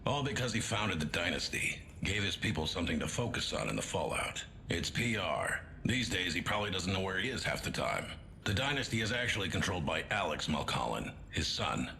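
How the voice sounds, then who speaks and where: Gravelly, low-voiced army colonel. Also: male voice, sing-song, a little fast, bright, forceful tone, slight background noise, full character voice. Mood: wary, stern. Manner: blunt, commanding. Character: gruff, wary male army colonel briefing a commander. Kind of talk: scripted video game briefing.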